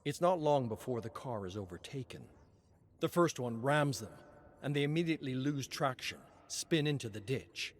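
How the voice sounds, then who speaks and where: A man reading scripted narration as a storyteller, solemn and measured, with dramatic pauses. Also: medium pitch, animated.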